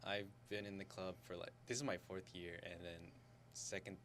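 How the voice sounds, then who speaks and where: A young man from Florida with a very low voice and little pitch movement. He chats casually as an interview guest, hesitant and unassuming, over background noise. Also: level tone, moderate pace, a bit bright. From Florida, born in the Philippines. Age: young adult. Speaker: student club guest, dance coordinator. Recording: clear background noise with hum.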